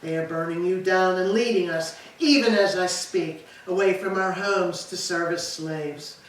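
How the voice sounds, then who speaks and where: A woman's voice: on the low side, measured pace, close to an everyday voice. A woman playing a grief-stricken aged queen in a staged Greek tragedy, lamenting in declamatory style. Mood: sorrowful, weary. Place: church, live staged reading. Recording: echoey hall, distant mic, background noise.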